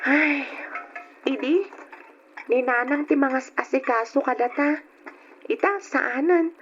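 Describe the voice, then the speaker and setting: High-voiced, plaintive young daughter. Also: female voice, slow pace, noisy background. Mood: wistful. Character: young daughter, earnest little sister missing her mother. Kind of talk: radio drama acting. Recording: dull lo-fi radio drama recording.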